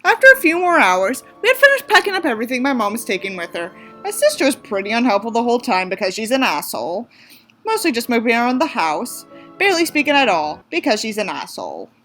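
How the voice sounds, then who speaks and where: A woman's voice: fairly high pitch, very animated, fast talker, pushed and bright, faint music behind. A woman from the United States doing a mocking dramatic reading, theatrical, with sarcastic asides. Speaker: reader and commentator.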